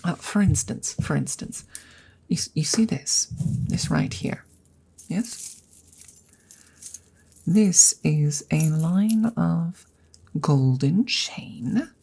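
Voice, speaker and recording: A woman voicing an awkward, courteous female dragon in an ASMR roleplay, speaking softly and haltingly, often dropping into a breathy whisper. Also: low-pitched, lively intonation, full character voice. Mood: courteous, hesitant. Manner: hesitant, halting, formal and polite.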